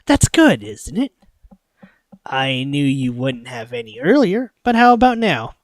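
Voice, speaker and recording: A streamer voicing an eager, caring male automaton with a gentle, coaxing, playful manner in a visual novel. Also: medium pitch, big pitch swings, a little slow, no room sound. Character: eager, caring male automaton host, wistful about humans.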